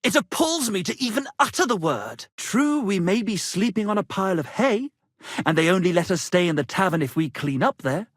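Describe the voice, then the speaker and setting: An actor playing a vain, touchy, melodramatic medieval minstrel poet in a video game, with florid, declamatory delivery. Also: male voice, sits high, big pitch swings, fairly quick, no echo, studio quality. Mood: grandiose. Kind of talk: video game character acting.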